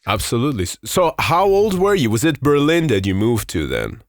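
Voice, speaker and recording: A man's voice: medium pitch, very animated, fairly quick, no echo, studio quality. A man hosting a podcast, asking friendly, meandering questions full of fillers like "you know" and "um". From Iran. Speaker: podcast host, interviewer. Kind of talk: casual interview conversation.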